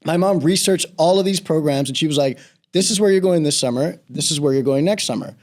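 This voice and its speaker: A middle-aged man from the Bahamas, a real estate broker chatting as a guest in an interview, telling stories in a relaxed, rambling way. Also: a little high, a little fast. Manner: relaxed, rambling storyteller, laughs easily. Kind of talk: casual interview conversation.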